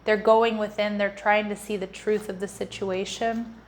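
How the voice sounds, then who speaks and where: A woman hosts a tarot reading with a slightly croaky voice from a cold. She talks casually and warmly to her viewers and keeps restarting her sentences. Her pitch sits mid-range, with a faint noise behind her. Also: flat delivery, moderate pace. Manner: chatty, repeats the listener's sign often; frequent restarts and fillers, warm addressing. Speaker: tarot reader.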